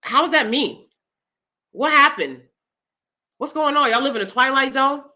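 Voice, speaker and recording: A woman with a loud, clear voice giving her opinions in a casual vlog, blunt and emphatic as she speaks straight to viewers. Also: high voice, moderate pace. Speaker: YouTube commentator.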